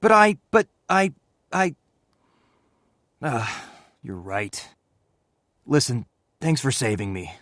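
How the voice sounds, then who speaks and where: An actor playing a brash young street thug in a video game, defiant but soft-hearted. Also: male voice, medium pitch, big pitch swings, slow pace, long pauses, dry recording, studio quality. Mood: sheepish, grateful.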